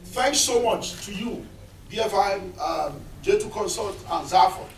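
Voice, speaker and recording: A man's voice: high voice, animated, measured pace, gravelly, a little hiss or hum. A man on a film panel, passionately appealing to the audience for support. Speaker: filmmaker on the panel. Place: cinema auditorium. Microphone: point-and-shoot camera across the room. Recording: echoey hall, distant camera mic.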